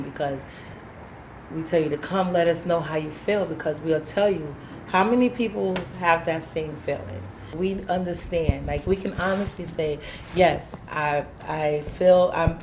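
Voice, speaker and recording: A woman's voice: low voice, noisy background. A woman, a community housing activist, telling her story candidly in an interview, emphatic and plain-spoken, acting out what people said.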